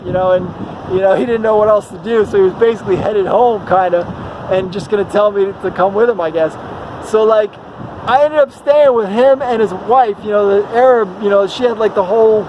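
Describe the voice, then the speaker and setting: A man telling a casual, rambling personal story in an animated, slangy way, acting out bits of dialogue. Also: sits high, background noise. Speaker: travel vlogger.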